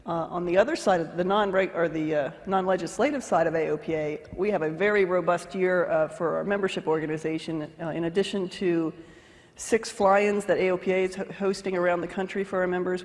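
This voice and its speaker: A woman speaking as a conference panelist, giving an organizational update in a slightly hoarse voice. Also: fairly low pitch, steady tone, a little fast. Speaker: panelist, aviation association executive. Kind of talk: prepared remarks on a conference panel. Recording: echoey hall with long reverb.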